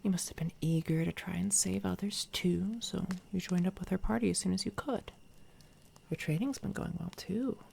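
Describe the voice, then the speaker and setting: A low, very creaky female villain, acted by a woman for an ASMR roleplay. She speaks softly and close to the microphone, often dropping to a whisper. Her manner is smug, condescending and menacing, with faint ambience behind her. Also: slight background noise. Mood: quietly threatening. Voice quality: soft, breathy, often slipping into whisper. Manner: taunting, condescending, unhurried. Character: smug, menacing villainess doppelganger from another timeline. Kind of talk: binaural soft-spoken ASMR roleplay. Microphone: close binaural microphone.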